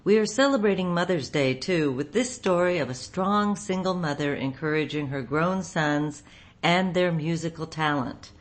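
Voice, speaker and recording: Low-pitched woman hosting a radio show. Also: moderate pace. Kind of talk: scripted show introduction.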